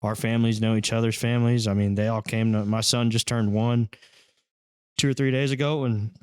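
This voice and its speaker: A laid-back man talking about his music in an easygoing, humble way. His voice sits at an ordinary middle pitch for a man. It is recorded dry and close, with no room sound. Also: flat delivery, fairly quick, smooth, no room sound. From Texas. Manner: easygoing, modest, plain-spoken. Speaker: band lead singer, podcast guest. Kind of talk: casual chat.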